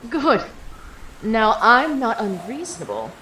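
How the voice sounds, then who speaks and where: A woman's voice: a little high, wildly swooping pitch, slow pace, bright, forceful tone, echoey room, slight background noise, exaggerated voice, low-quality recording. A woman playing an imperious abyssal villain in an audio drama, drawling and taunting with mock politeness. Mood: smug, menacing. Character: mocking, imperious abyssal female villain, ancient sea witch.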